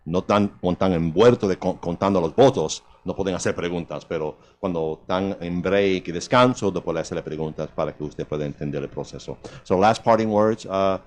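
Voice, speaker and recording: A man with a Cuban accent hosting a radio interview, switching between Spanish and English and pausing on fillers as he relays guests' words in Spanish. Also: low voice. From Cuban and Puerto Rican heritage. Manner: halting, many fillers and restarts. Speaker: radio host. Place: radio studio.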